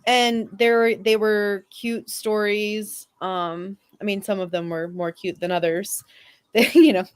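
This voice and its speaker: A woman with a mid-pitched voice chats as a fan panelist. She is informal and rambling, circling around her point in loose, conversational phrases. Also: fairly even intonation, slow pace, smooth. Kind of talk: casual panel chat.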